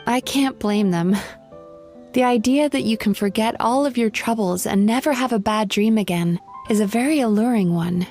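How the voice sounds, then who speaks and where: A woman voicing a calm, reassuring psychologist spirit who eats dreams, in a video game. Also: fairly high pitch, natural voice. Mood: gentle. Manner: soft, measured and reassuring. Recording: faint game music behind.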